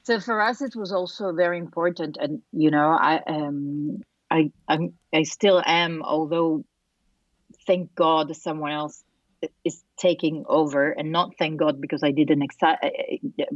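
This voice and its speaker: Italian-accented woman, low and muffled. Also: steady tone, a little slow, no room sound. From Italy. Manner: thoughtful, with many fillers and restarts. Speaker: podcast guest. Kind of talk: casual interview chat.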